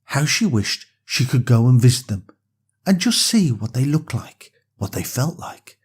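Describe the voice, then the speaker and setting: Unhurried, husky bedtime storyteller. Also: medium pitch, very animated, a little mellow. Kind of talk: scripted bedtime story narration for children.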